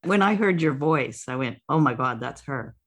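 Female podcast interviewer, low register, casual, full of encouragement for the guest. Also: expressive, moderate pace. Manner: effusive, encouraging.

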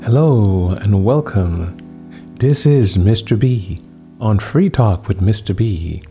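A man hosting a talk show interview, speaking slowly and emphatically and repeating his phrases for effect. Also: fairly low pitch, lively intonation, music in the background. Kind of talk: radio-style interview.

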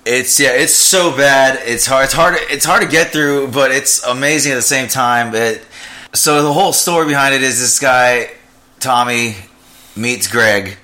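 A man chats in a relaxed, hesitant way, often trailing off and hedging. His voice has an ordinary pitch and a bright, clear edge. This is easygoing, unscripted talk. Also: measured pace. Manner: laid-back, hesitant, hedging. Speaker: podcast co-host. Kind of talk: casual chat.

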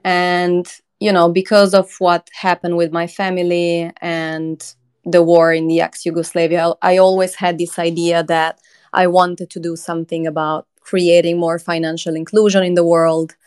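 A woman from Croatia in her thirties, a company chief being interviewed, talking in an earnest, measured way with frequent ums. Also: lowish voice, level tone, a little mellow, clear, resonant tone. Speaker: crypto company CEO, guest. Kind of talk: conversational interview.

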